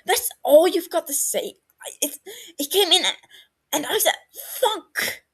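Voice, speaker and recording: A woman's voice: extremely high pitch, lively intonation, measured pace, a bit bright, voice pushed up, full character voice. Woman voicing a prickly, exasperated young man. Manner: raises voice in frustration, stammers when upset.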